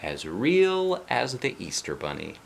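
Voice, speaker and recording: A man reading aloud, voicing a young woman teacher who is brisk, reassuring and lightly teasing. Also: medium pitch, huge pitch swings, gravelly, voice pushed up, full character voice.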